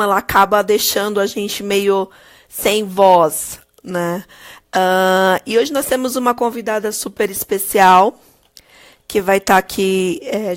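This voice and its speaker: Hoarse Brazilian woman, upbeat live-stream host, greets viewers by name, mid-pitched, webcam audio. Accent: Brazilian Portuguese.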